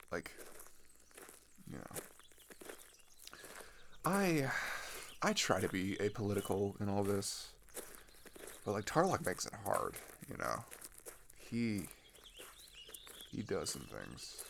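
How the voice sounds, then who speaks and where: A man's voice: on the low side, vocal fry, a bit bright, slight background noise, exaggerated voice. A man playing an earnest, disillusioned teenage traveler in an ASMR roleplay, talking hesitantly and conversationally, often trailing off. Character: chatty, earnest, disillusioned male teenager on a journey. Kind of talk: ASMR roleplay, naturalistic improvised-sounding monologue.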